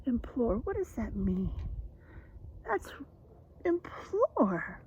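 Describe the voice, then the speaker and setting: A woman's voice: a little high, very animated, very slow, darkish tone, slightly rough, lo-fi sound. A woman reading a children's story aloud as a teacher would, speaking directly and encouragingly to young listeners. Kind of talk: children's read-aloud with rhyme and vocabulary talk.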